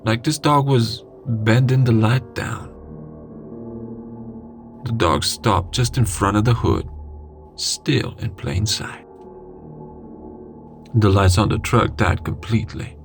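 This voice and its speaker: A man reading a scary first-person story in a dramatic, suspenseful way with deliberate pauses. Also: on the low side, creaky. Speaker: horror story narrator. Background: music.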